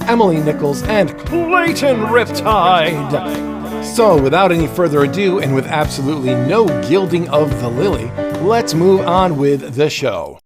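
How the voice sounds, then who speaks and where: Man, podcast host, scripted narration, deadpan jokes, lightly raised pitch. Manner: dry, playful humor.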